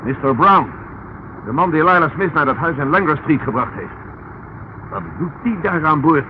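An actor playing a composed, shrewd police inspector in a Dutch radio play, brisk and authoritative with dry irony. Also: male voice, on the high side, expressive, natural voice. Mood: alert, commanding. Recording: muffled, noisy old radio play recording.

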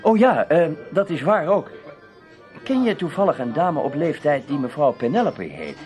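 A man playing a cool amateur investigator in a radio drama, questioning in a terse, measured manner. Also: a little high, big pitch swings, a little mellow, soft background music. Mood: probing, casual. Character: cool, probing male amateur investigator named Tim.

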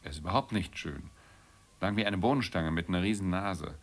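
An actor in a German radio play voicing a lonely, bitter, brooding man, gravelly and weary, answering in a terse, grudging way. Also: low-pitched, fairly quick. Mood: scornful, bitter. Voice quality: gravelly, creaky.

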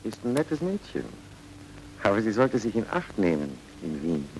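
A man playing an opinionated, condescending, worldly gentleman in an old German radio crime drama. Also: lowish voice, sing-song, normal speed, a little hiss or hum, low-quality recording. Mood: knowing. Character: opinionated, condescending gentleman discussing a suspicious death.

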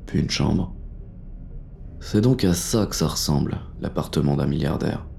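An actor playing a wary police inspector on a burglary, narrating in a terse, tense inner monologue for an audio drama. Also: male voice, extremely low pitch, gravelly, a little mellow, heavily acted. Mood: cautious, fascinated.

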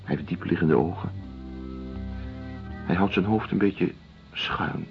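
Radio drama: a low-voiced, world-weary doctor, deliberate and detached, on a muffled lo-fi recording. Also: male voice, music in the background. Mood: grave, observant. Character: weary, detached doctor-writer documenting a penal colony. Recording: dull lo-fi radio play recording.

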